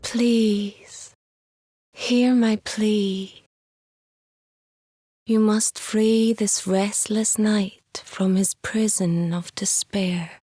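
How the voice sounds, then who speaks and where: A woman playing a gentle, mysterious figure in a video game, imploring in a measured, breathy voice. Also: medium pitch, steady tone, no room sound, studio quality. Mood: solemn pleading. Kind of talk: dramatic video game acting.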